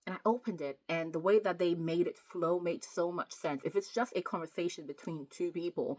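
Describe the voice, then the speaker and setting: A woman's voice: fairly low pitch, fairly quick, darkish tone. A woman chatting casually as a book vlogger, talking emphatically and repeating words to stress them. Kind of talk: casual chatty vlog about writing.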